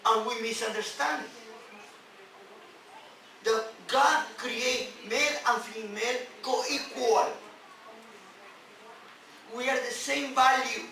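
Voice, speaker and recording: A man who is a non-native English speaker, a rabbi giving an emphatic sermon in halting phrases with repeats and rhetorical questions. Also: very high voice, fairly even intonation, vocal fry, pushed and bright. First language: not English. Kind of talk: sermon on scripture. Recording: distant, very echoey, noisy room recording.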